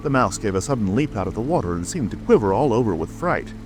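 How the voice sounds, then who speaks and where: Low-pitched, expressive male storybook narrator. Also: quick pace. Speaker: audiobook narrator. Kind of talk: scripted storybook narration, dramatic reading. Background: music, rain ambience.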